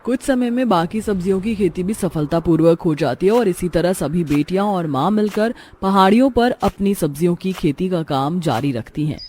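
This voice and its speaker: A woman's voice: on the low side, mellow, a little hiss or hum. A woman narrating a scripted moral story as its storyteller.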